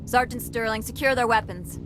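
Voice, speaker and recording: An actress playing a stern, by-the-book security captain in a video game, formal and authoritative. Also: female voice, fairly high pitch, fairly quick, background noise, lightly acted.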